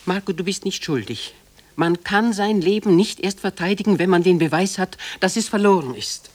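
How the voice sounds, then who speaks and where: A woman's voice: lowish voice, moderate pace, a little mellow, lightly acted. An actress playing a proud, protective elderly mother in a German radio drama, formal and emphatic as she pleads for her son. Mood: urgent, reasoning. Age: older.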